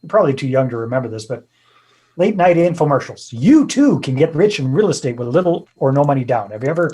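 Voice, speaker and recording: A man's voice: medium pitch, sing-song, fast talker, dark tone, slightly rough. A middle-aged Canadian man from British Columbia, a podcast guest telling his story in a chatty, self-deprecating way and laughing easily. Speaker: podcast guest, real estate investor. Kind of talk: casual storytelling interview.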